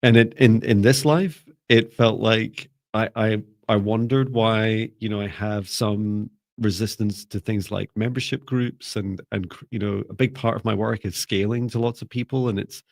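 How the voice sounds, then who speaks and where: A low-pitched man with a gravelly edge to his voice leads a live spiritual class online. He talks in a calm, gentle, sleepy way. He often hesitates and restarts his sentences. The sound is close and dry. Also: moderate pace, hardly any pauses, no room sound. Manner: calm, soft-spoken, gentle. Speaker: spiritual mentor. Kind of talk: live online class, reading viewer comments.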